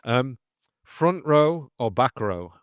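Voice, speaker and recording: A male podcast host asks quick questions in a hesitant way and often restarts his words. His pitch sits in the middle range. The recording is dry and close. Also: big pitch swings, no echo. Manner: hesitant, repeats words, brief back-channel replies. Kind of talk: interview hosting.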